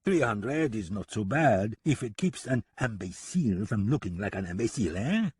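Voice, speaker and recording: A man's voice: lowish voice, sing-song, normal speed, darkish tone, slightly rough, exaggerated voice. A man voicing a mocking, sly French gunman with a French accent in a western audiobook. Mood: sly, boastful. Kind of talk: western audiobook character acting.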